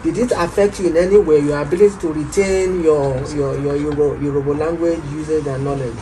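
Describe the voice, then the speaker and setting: A man's voice: fairly high pitch, fairly even intonation, fairly quick, noisy background. A man interviewing a guest in a studio, asking long questions with frequent hesitations and repeated words. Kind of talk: conversational interview.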